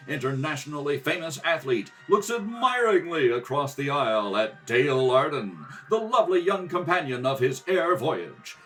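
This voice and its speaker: A man's voice: on the high side, fairly bright tone. A man narrating a scripted radio adventure serial as announcer, dramatic and declamatory. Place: kitchen table at home. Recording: home kitchen recording, distant mic.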